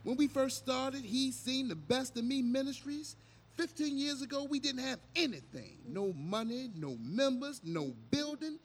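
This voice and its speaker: Guy acting as a braggy folksy preacher dad in a radio play, high voice, slow delivery. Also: big pitch swings, breathy edge, full character voice. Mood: proud. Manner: folksy, showy, affectionate pet names. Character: boastful, image-obsessed megachurch pastor and doting father. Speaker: actor in an audio drama. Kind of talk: audio drama acting.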